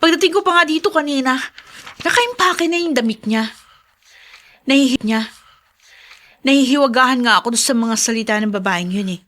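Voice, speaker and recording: A woman's voice: high-pitched, lively intonation, moderate pace, long pauses, fairly bright tone. A woman acting an indignant, spoiled young daughter in a radio drama, with a dramatic, complaining delivery.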